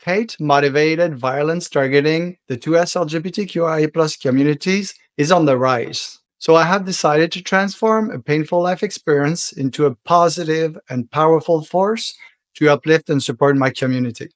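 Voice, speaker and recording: A French Canadian man, a native French speaker, hosting his own podcast in an earnest, heartfelt monologue that slows down for emphasis. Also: fairly high pitch, dry recording, studio quality. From Quebec, Canada. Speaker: podcast host.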